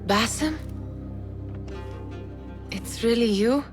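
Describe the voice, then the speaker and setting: A woman's voice: a little high, very slow. An actress playing a teasing, determined young woman in dramatic video game dialogue. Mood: tender, guarded. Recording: music behind.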